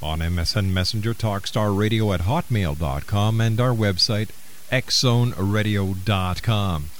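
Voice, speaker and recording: Male talk radio host, lower register, deliberate announcer delivery, slightly noisy broadcast sound. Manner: deliberate, spells out details. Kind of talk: radio host announcing phone numbers and addresses.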